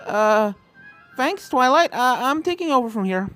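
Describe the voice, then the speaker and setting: A woman's voice: high voice, lively intonation, a little slow, fairly bright tone, soft background music, pitched above the performer's own voice. A woman voicing a plucky, cheeky young cartoon filly with folksy country phrasing, in a story reading. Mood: awkward.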